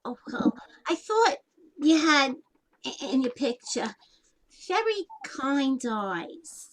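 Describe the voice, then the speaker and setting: A woman's voice: high voice, sing-song, slow pace, full character voice, poor audio. A woman playing a blunt, unpolished older single aunt in a comic one-act play, wry and plain-spoken, with colloquial grammar. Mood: gentle. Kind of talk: one-act stage comedy acting.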